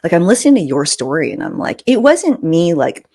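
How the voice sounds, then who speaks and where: A woman's voice: lowish voice, big pitch swings, a little fast, darkish tone, husky. A middle-aged woman hosting a podcast, telling personal stories in a candid, informal way with some swearing. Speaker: podcast host. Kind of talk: casual personal storytelling.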